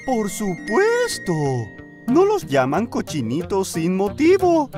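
A woman voicing a playful, friendly small boy steam engine in a children's cartoon dub, animated and childlike, with a Latin American accent. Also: mid-range voice, slow, soft background music.

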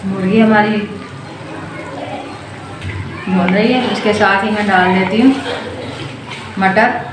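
A middle-aged woman walks viewers through a home recipe, one step at a time, in a calm, homely way. She sounds far from the mic, in a very echoey kitchen, with loud sizzling from the pan behind her. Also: mid-range voice, moderate pace. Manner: calm, homely, talks through each step while cooking. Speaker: cooking channel host. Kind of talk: step-by-step cooking demonstration. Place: home kitchen, cooking at the stove. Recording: echoey, distant, noisy home recording. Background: frying and sizzling from the pan.

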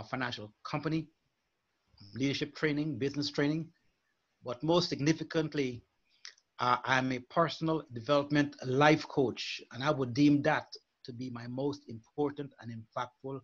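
An older man from Guyana, a life coach, speaking earnestly and in a measured way as a guest on a video call. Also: mid-range voice, a bit bright. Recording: muffled video call audio.

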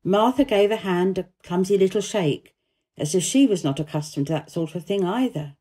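A woman narrating a children's story as an expressive, scripted reading. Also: fairly low pitch, moderate pace, slightly rough. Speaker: storybook reader, actor.

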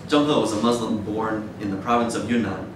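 A man in his thirties giving an academic lecture in a measured, hesitant way. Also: fairly even intonation. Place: dim wood-shelved seminar room with audience, indoors. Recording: echoey room, distant mic, noisy rumble.

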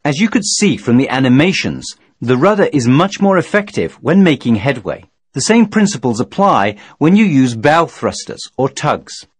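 A man reading scripted instructional narration in a measured, formal, didactic manner. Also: medium pitch, sing-song, husky, no room sound. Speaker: training video narrator.

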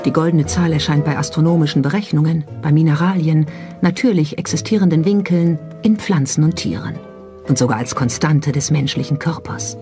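Documentary narrator, mid-pitched and relaxed, scripted delivery, light music bed. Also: brisk. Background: background music.

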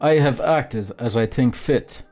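A man's voice: mid-range voice, unhurried, darkish tone, faint music behind, close to an everyday voice. A man voicing a sly, sneering army captain in an audiobook, mocking, blustering and commanding. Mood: accusing, roaring. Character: sly, sneering military captain, harsh recruiting bully. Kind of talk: audiobook character dialogue.

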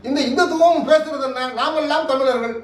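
A man with a Tamil Nadu accent giving impassioned political commentary in an interview, forceful and oratorical, full of rhetorical questions. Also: very high voice, brisk, slight background noise. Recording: echoey room, distant microphone.

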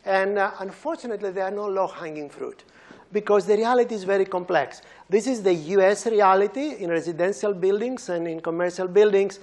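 A middle-aged man from Greece with a Greek accent, giving a relaxed university seminar lecture while pacing an auditorium stage. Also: high voice, fairly quick. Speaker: professor giving a seminar talk.

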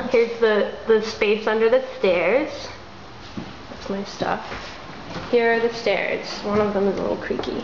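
A woman casually narrating a walkthrough of an abandoned house in a soft, half-whispered way, filmed on a handheld camera. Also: medium pitch. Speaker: urban explorer filming a tour. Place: empty abandoned row house, indoors. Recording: roomy, dull lo-fi camera audio with hiss.

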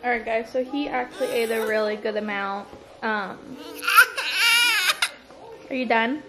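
A woman's voice: a little high, steady tone, a little slow, loud music behind the voice, rough recording. A young woman in her twenties vlogging casually at home and talking straight to her viewers about her toddler's meals. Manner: chatty, addresses viewers directly. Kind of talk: casual vlog talk to camera. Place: home living room, indoors.

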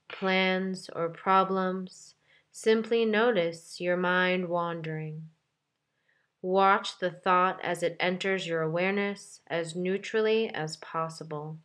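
A woman with a slightly low voice guides a meditation very slowly. She is calm and gentle, giving measured instructions and leaving deliberate space between phrases. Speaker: meditation guide, yoga teacher.

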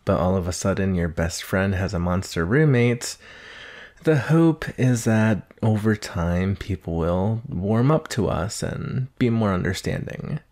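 A man's voice: on the low side, unhurried, a little mellow. A man playing a shy, earnest nineteen-year-old naga monster boy in a conversational ASMR roleplay, hesitant, nervous and apologetic. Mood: friendly, tentative.

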